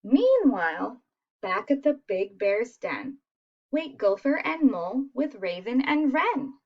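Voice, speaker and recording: Female picture-book reader, unhurried and expressive, with a high voice, on a slightly lo-fi recording. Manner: expressive, asks listeners questions. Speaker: storyteller. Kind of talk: animated children's picture book read-aloud.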